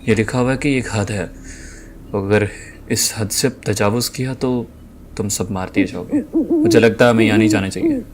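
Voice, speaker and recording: A man's voice: on the low side, very animated, normal speed, a little hiss or hum. A man playing a cautious, serious young university student in an audio drama.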